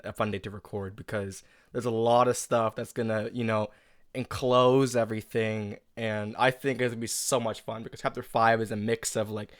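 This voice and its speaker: Man, smooth clear voice, casual interviewer, informal hesitant phrasing, close dry sound. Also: medium pitch, no room sound. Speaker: interviewer and audio drama creator.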